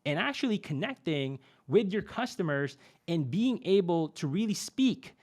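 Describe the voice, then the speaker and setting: A man's voice: high-pitched, normal speed. A young adult man, a podcast guest and marketing expert, explaining in a conversational way with frequent fillers and a repeated "right?". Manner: animated, many fillers and tag "right?".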